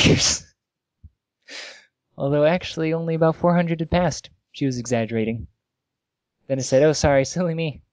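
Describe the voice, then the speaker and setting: A man's voice: medium pitch, a little mellow, no room sound. A man co-hosting a podcast, casually reading comic fan fiction aloud with amusement.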